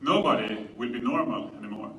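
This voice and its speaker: A man with a Swedish accent presents to an audience in a bright, clear-cut tone. His delivery is measured and explanatory, like a conference talk. The sound is roomy and picked up from a distance, with a little noise. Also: medium pitch. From Sweden. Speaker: conference speaker. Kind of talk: public presentation lecture.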